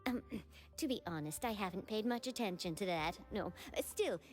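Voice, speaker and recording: A woman voicing a gentle, carefree young water creature who explains things simply and earnestly in an anime-style video game. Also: medium pitch, animated, normal speed, natural voice. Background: background music.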